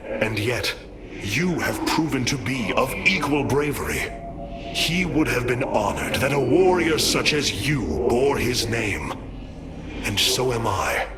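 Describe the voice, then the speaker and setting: A man's voice: mid-range voice, natural voice. A man playing a noble alien military leader in an epic video game cutscene, formal and oratorical. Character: noble, commanding male alien war leader.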